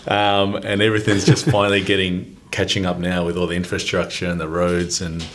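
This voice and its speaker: A very deep-voiced Australian man in his forties speaks as a podcast guest. He talks in a laid-back, rambling way, scattering ums and calling people mate. The talk is loose, easygoing chat. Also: monotone, brisk, slight background noise. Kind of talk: casual interview chat.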